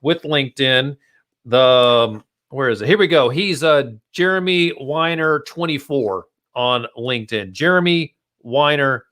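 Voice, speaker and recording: A man's voice: medium pitch, unhurried, a bit bright. A man hosting a casual marketing podcast, chatting informally and speaking straight to his listeners. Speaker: podcast host.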